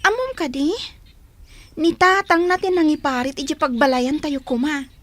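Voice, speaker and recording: Very high-voiced young big sister. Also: female voice, moderate pace, fairly bright tone. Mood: admonishing. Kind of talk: Filipino radio drama acting.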